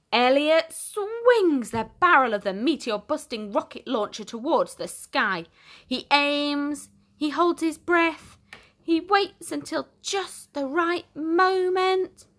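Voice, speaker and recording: A woman reading a children's storybook aloud in an expressive, theatrical way with deliberate emphasis. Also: high-pitched. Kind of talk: dramatic storytime narration for young children.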